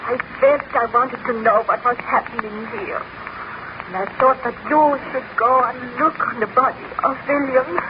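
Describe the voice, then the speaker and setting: An actress playing a grief-stricken, gentle baroness in genteel, formal 1930s radio drama style. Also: female voice, sits high, moderate pace, lightly acted. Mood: anxious. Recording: noisy, dull 1930s radio recording.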